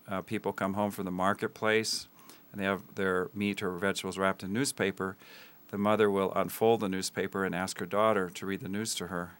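Man, deep flat smooth voice, earnest guest with halting fillers. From Tanzania. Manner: earnest, many ums and uhs. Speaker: guest, school builder. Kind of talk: interview answers.

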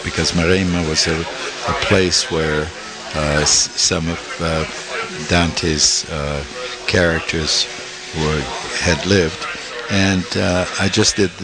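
An older man from Italy, a small press publisher, being interviewed and speaking haltingly with many fillers and restarts. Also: low voice, fairly even intonation. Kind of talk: interview conversation.

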